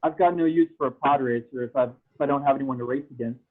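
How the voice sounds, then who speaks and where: A man's voice: mid-range voice, quick pace, close to an everyday voice. A man playing a scornful alien pod-race boss in a table read over a video call with thin sound. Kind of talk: table read of fan fiction script. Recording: thin phone-like call audio.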